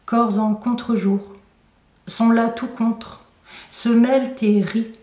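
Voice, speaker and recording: A woman recites poetry at a slow, deliberate pace, pausing between short phrases. Her tone is mellow and dark. The room echoes around her voice. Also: on the high side, fairly even intonation. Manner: measured, deliberate phrasing with pauses. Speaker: poet reading own work. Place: indoor room at a poetry venue. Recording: roomy echo, recorded from a distance.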